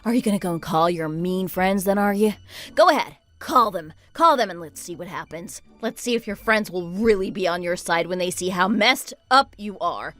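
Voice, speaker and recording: A woman playing an indignant, sarcastic wife in a dramatized first-person story, with an expressive, exasperated delivery. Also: lowish voice, normal speed, close to an everyday voice. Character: indignant, sarcastic wife at the end of her patience.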